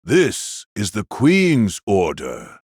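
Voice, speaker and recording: A man's voice: on the low side, huge pitch swings, drawn-out words, darkish tone, husky, no room sound, studio quality, pitched below the performer's own voice, full character voice. A man playing a cold, mocking elder brother, an elite warrior, in an audio drama, speaking in a frank, condescending way. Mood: scornful, commanding.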